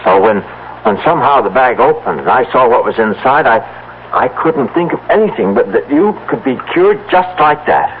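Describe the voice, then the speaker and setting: Actor as earnest, swooping farmer husband, old radio. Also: male voice, medium pitch, animated, close to an everyday voice. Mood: tender, uneasy. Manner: halting, stammering urgency. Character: anxious, earnest farmer husband, loving and stubborn. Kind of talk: vintage-style radio drama acting. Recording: muffled, noisy old radio drama recording.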